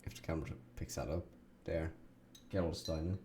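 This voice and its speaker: Low gravelly German-accented young man. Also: fairly quick. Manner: relaxed, chatty, laughing and joking with a co-player. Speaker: streamer. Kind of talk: casual gaming chat.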